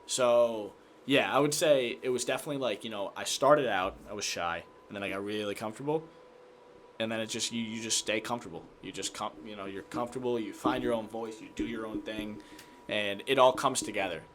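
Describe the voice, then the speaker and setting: A man's voice: on the low side, brisk, slight background noise. A young American man chatting casually and energetically as an interview guest, often acting out his own thoughts. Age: young adult. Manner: energetic, animated self-quoting. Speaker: guest, TV sports reporter and anchor. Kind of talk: casual chat.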